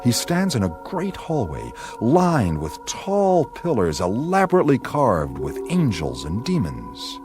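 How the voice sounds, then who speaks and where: A man narrating a radio play in a dramatic, measured, suspenseful storytelling style. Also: medium pitch, huge pitch swings. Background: loud music.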